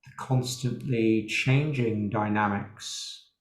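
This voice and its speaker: A man leading a guided meditation as a teacher, speaking in an unhurried way with long pauses. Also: fairly low pitch. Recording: roomy, echoing sound.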